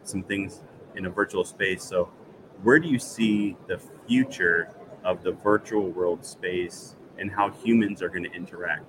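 Talky host guy, lower voice, upbeat, some noise in the room. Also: a little slow. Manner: chatty and upbeat, friendly questions. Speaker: podcast host. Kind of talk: casual interview.

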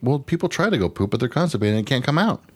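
A man's voice: mid-range voice, very animated, racing, slightly rough. A man co-hosting a podcast in casual, jokey chat with some crude humor, sometimes reading out odd news items. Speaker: podcast co-host.

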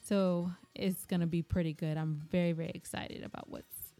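A woman co-hosting a podcast in casual banter, playful, teasing and excitable. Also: fairly low pitch, moderate pace, creaky. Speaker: podcast co-host. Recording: music under the voice.

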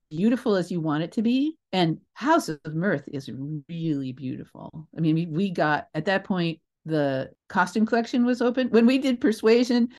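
A middle-aged woman with a slightly low voice speaks as an interview guest. She tells stories conversationally, with emphasis and expression. Her delivery is reflective, like a director explaining her craft. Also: darkish tone. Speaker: guest, theater director and creator.

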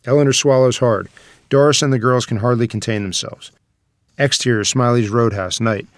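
A man's voice: mid-range voice, steady tone, moderate pace, no echo, clean studio sound. A man reading stage directions as scripted screenplay narration.

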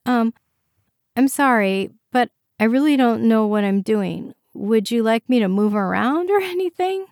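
A woman's voice: on the high side, lively intonation, a little slow, a little mellow, dry recording, clean studio sound, voice pushed up. Woman reading as a shy, awkward thirties woman. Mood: nervous, apologetic. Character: shy, self-conscious thirty-six-year-old woman, awkward and polite. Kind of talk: audiobook character voice.

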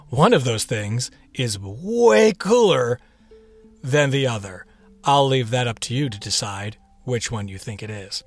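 Playful male podcast host over music. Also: medium pitch. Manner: playful, theatrical, with whispered echo-like repeats and asides. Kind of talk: chatty scripted podcast introduction.

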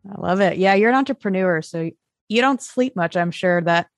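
A woman with a fairly low voice hosts an interview, upbeat and affirming as she frames her questions. Her voice is dry and close to the mic, with faint music underneath. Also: moderate pace, no room sound. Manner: enthusiastic, frequent "I love it". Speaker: podcast host.

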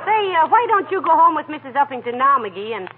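An actress playing a sensible, teasing, folksy wife in a 1940s radio comedy, wry and gently scolding. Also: female voice, high-pitched, very animated, fast talker, narrow, tinny audio, over a noisy crowd. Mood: coaxing. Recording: noisy old radio recording, loud studio audience.

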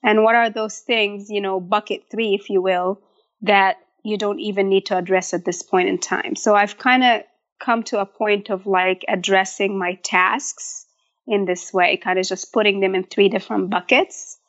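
A woman's voice: mid-range voice, fairly even intonation, moderate pace, fairly bright tone, highs cut off. A woman in her thirties from Egypt, a food blogger talking casually as a guest in an interview.

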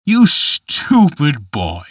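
A man voicing a huge, scornful forest spirit who tempts a young man, speaking slowly and mockingly. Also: on the high side, huge pitch swings, a bit bright, slightly rough, dry recording, clean studio sound, pitched above the performer's own voice, exaggerated voice. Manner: slow, mocking, emphatic.

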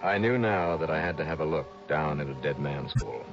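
An American actor playing a tough, determined investigator in a 1960s radio detective drama, terse and brisk. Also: male voice, very deep voice. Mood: terse, suspenseful. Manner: terse, brisk, hard-boiled. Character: tough, determined male insurance investigator narrating his case. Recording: dull vintage radio recording with music.

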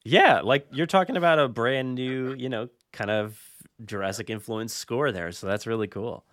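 A man's voice: medium pitch, normal speed, fairly bright tone. A man hosting a podcast in an informal, enthusiastic, conversational way. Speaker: podcast host. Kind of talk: casual podcast chat.

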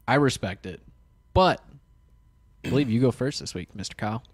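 A man's voice: medium pitch, animated, normal speed. A young man co-hosting a casual podcast over beers, easygoing and self-deprecating in his banter. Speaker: podcast co-host.

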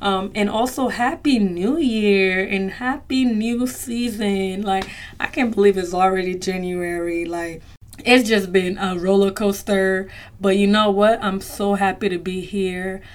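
A young woman talks to her listeners in a relaxed solo podcast. She is chatty and heartfelt, uses many fillers and calls her listeners y'all, and her voice is slightly strained after she recently lost it. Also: mid-range voice, a little slow. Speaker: podcast host. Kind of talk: casual solo podcast talk.

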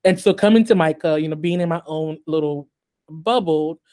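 A man born in Haiti and raised in the US, talking as a guest on a podcast interview in a measured way, with many ums and restarts. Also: fairly high pitch, mellow. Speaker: guest artist.

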